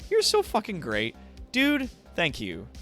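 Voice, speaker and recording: A man's voice: high-pitched, wildly swooping pitch, a little slow, fairly bright tone, faint music behind. A man streaming casually to his viewers, rambling and swearing freely as he talks as an online entertainer. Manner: profane, rambling, effusively thankful to viewers. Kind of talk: casual livestream chat with viewers.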